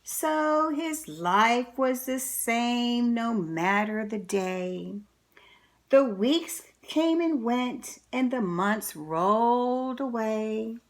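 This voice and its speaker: A woman reading a picture book aloud to children, with deliberate, dramatic, expressive storytelling. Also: a little high.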